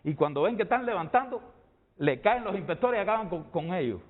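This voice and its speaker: A man's voice: high-pitched, lively intonation, fairly quick, narrow, tinny audio. A middle-aged man from rural Cuba with a Cuban accent, giving emphatic personal testimony as a guest in a heated debate, repeating phrases for weight.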